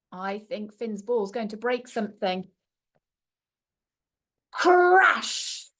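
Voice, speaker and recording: A woman's voice: a little high, a bit bright. A woman reading a storybook aloud to young children like a primary teacher, expressively and with little asides to her listeners.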